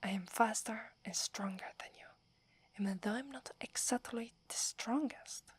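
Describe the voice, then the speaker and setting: A woman with an Italian accent voicing a proud, wary young werewolf girl in a soft, breathy whispered roleplay, haughty but stammering when flustered. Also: on the high side, normal speed. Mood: scornful, teasing. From Italy.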